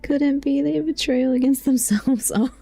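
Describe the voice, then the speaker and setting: A woman's voice: high-pitched, a little slow, vocal fry, mellow. A woman live streamer giving casual, giggly, playful commentary over a game.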